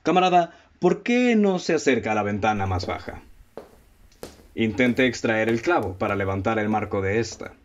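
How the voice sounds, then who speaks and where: A Mexican man acting in a student radio drama as a self-assured, methodical amateur detective who speaks in a formal, deliberate, theatrical way. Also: lowish voice, lively intonation, a little hiss or hum, lightly acted. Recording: dull, muffled lo-fi audio.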